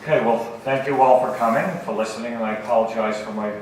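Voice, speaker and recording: A middle-aged man running for state senate gives a campaign speech at a forum. His voice is raspy and hoarse, and he speaks in measured phrases with frequent pauses. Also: mid-range voice, fairly even intonation, a little hiss or hum. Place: campus event hall. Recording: very echoey hall from far off.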